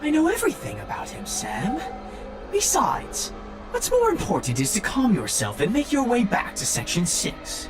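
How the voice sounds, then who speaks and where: A man's voice: very high voice, wildly swooping pitch, moderate pace, over music, pitched above the performer's own voice, exaggerated voice. A man voicing a smug, know-it-all artificial intelligence from a sci-fi visual novel, teasing and cryptic. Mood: smug, calm. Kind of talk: visual novel character voice read by player.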